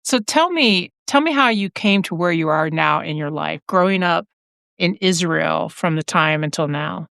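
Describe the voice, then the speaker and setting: A woman's voice: fairly low pitch, animated, moderate pace, vocal fry, fairly bright tone, no echo, studio quality. A woman hosting a podcast, attentively and encouragingly asking her guest questions. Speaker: podcast host. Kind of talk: interview questions.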